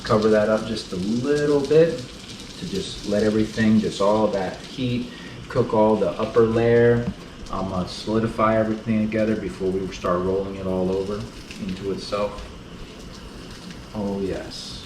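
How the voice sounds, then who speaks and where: A man from the United States hosting a relaxed, chatty cooking tutorial in his kitchen. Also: lowish voice, moderate pace, noisy background. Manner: relaxed, chatty, fond of saying beautiful. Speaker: cooking channel host. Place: kitchen with food frying on the stove. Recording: roomy sound, mic set at a distance, sizzling pan.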